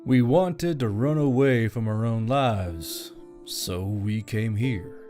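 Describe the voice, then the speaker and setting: A man's voice: on the low side, takes it slowly, mellow, faint music behind. Man playing a weary, subdued military commander. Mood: confessional, heavy. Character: weary, self-doubting male military commander, soft-hearted. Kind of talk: audiobook character dialogue.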